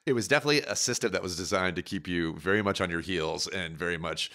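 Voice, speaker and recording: A man speaks in a somewhat low but bright, forceful voice. He chats casually into a close podcast mic. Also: fast talker. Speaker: podcast co-host and filmmaker. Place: indoor room, on a video call.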